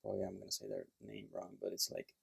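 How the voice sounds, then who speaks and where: A man with a Puerto Rican accent talks through his background in an easygoing, conversational way. His voice sits low and gravelly, full of casual fillers. The recording is close and dry with no room sound. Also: fast talker, no room sound. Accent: Puerto Rican Spanish. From Puerto Rico. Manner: relaxed, plain storytelling with fillers. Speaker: chiropractor guest. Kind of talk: casual interview storytelling.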